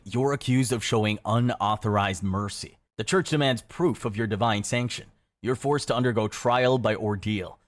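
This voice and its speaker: Measured male storytelling narrator. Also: medium pitch. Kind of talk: scripted second-person storytelling narration.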